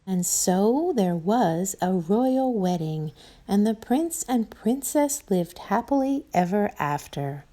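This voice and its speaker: A woman reads a picture book aloud to small children. Her pace is slow and her pitch very lively, with an expressive, playful storyteller's delivery. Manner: expressive, addresses listeners playfully.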